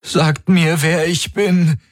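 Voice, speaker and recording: Guy doing a high panicky lost young man, gasping, pleading. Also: fairly even intonation, slow pace, no room sound, studio quality. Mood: panicked.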